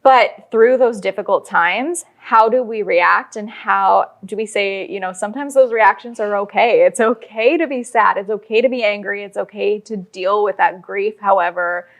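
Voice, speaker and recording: A woman's voice: mid-range voice, moderate pace, hardly any pauses. A woman speaking as an interview guest, explaining her creative work in a conversational way with illustrative examples. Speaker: interview guest, audio drama writer.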